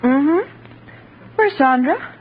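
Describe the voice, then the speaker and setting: A woman's voice: high voice, lively intonation, drawn-out words, heavily acted. An actress playing a strained, strict stepmother and anxious wife in a 1940s radio drama, earnest and genteel. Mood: anxious, conciliatory. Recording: scratchy, hissy, narrow 1940s radio recording.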